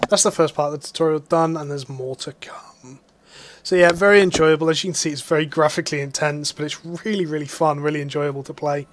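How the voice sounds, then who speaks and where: A man's voice: a little high, fairly even intonation, fairly quick, slight background noise. A young man casually commenting on his gameplay, explaining things with lots of fillers. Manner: chatty, explanatory, many fillers. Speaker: gaming YouTuber.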